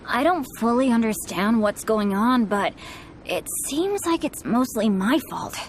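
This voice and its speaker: A woman's voice: on the high side, animated, unhurried, slight background noise. A woman voicing an earnest, friendly, self-blaming teenage boy adventurer in a video game. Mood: apologetic. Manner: earnest, boyish. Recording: music behind the voice.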